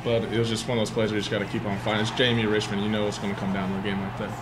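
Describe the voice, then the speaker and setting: A young man, a college football player recounting a play at a postgame press table, relaxed and full of "um.". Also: on the low side, rapid-fire, very noisy. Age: young adult. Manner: easygoing, filler-heavy. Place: press room before a sponsor backdrop. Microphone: table mic in front.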